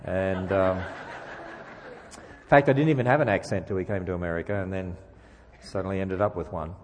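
A man's voice: sits low, moderate pace, a little mellow, long reverb tail, a little hiss or hum. A man from Australia with an Australian accent, a guest speaker giving a sermon with jokes and emphatic rhetorical questions in a church. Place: church sanctuary.

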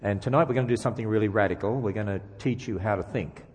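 A man's voice: lowish voice, quick pace, long reverb tail, slight background noise. A man from Australia with an Australian accent, a guest speaker giving a sermon with jokes and emphatic rhetorical questions in a church. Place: church sanctuary.

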